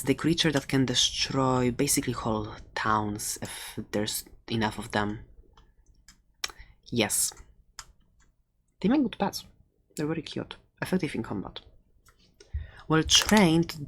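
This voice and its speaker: A friendly fantasy shopkeeper, played by a woman, with a very low female voice and a slow, meandering delivery. The sales talk is playful and a little hesitant, done as soft, close-up ASMR roleplay. Faint noise sits behind the voice. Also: heavily acted. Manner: playful, hesitant, rambling salesmanship, lapsing into whispers. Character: friendly chatty fantasy shopkeeper selling dragon eggs.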